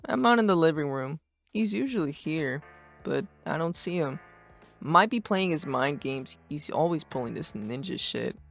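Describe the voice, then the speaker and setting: A performer voicing a brash, sarcastic, too-cool teenage boy who rants and swears with deadpan cool in a fan-dubbed comic. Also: mid-range voice, normal speed, gravelly. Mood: cool, nonchalant. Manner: foul-mouthed, ranting, deadpan cool. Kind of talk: fandub of a webcomic chat. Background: music.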